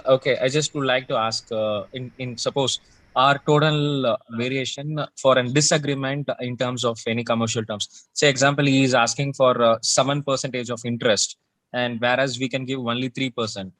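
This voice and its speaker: Dry-sounding, halting man. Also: mid-range voice, fairly even intonation, fairly bright tone, no room sound, poor audio. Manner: halting, deferential. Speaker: session participant asking a question.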